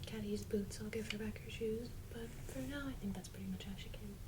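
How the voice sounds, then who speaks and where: Loud background noise and clicks surround a woman's soft, breathy voice. She speaks ASMR style, often dropping close to a whisper, in a casual and gentle way, as if musing while she handles toys. Her pitch is average for a woman. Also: fairly even intonation, brisk. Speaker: ASMR video host. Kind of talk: soft-spoken ASMR toy unboxing.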